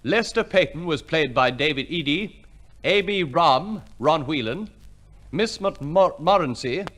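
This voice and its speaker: A man's voice: fairly high pitch, expressive, a little slow, a bit bright. A man reading scripted announcements as a formal, polished 1950s radio compere, on an old recording.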